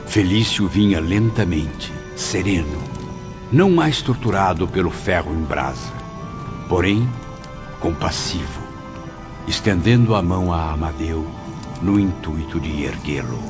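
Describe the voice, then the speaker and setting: A man's voice: deep voice, animated, unhurried. Solemn Brazilian male narrator over music. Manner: solemn, theatrical. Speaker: audiobook narrator. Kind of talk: dramatic scripted narration of a spiritist novel. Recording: dull lo-fi audio with music behind.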